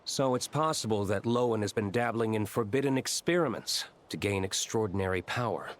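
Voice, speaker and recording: An actor playing a stern, brooding aristocrat in a video game, speaking formally with cool skepticism. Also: male voice, fairly low pitch, brisk, natural voice. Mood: suspicious. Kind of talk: video game dialogue acting.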